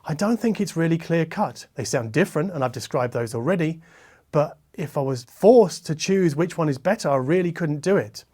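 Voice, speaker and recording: A man with a slightly high voice hosts a conversational review. He explains things in a measured, methodical way, talking directly to the viewer. He often qualifies his own judgments as he goes. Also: animated, a little mellow, husky. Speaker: host, hi-fi reviewer. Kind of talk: conversational product review to camera. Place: treated listening room, six by five meters.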